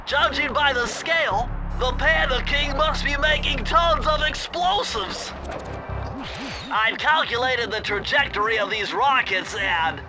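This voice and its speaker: A man's voice: extremely high pitch, measured pace, low-quality recording. A man playing a nerdy, earnest gadget-expert animal sidekick in a cartoon video game, explaining things precisely and bookishly. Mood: earnest, instructive. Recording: music behind the voice.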